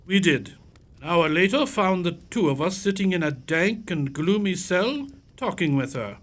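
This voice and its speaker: A loyal, inquisitive gentleman doctor in an audio drama. His pitch moves in lively swings, and there is faint noise with small clicks behind him. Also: normal speed. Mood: reflective narrating. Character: Doctor Watson, loyal curious gentleman narrator and companion. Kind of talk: audio theatre acting and narration.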